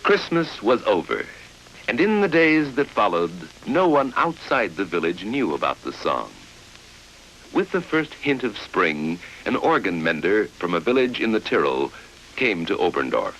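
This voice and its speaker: A man narrating a vintage educational film from the 1950s in a measured, formal storytelling style, on an old film soundtrack. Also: fairly low pitch, sing-song, a bit bright, slight background noise, rough recording. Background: music.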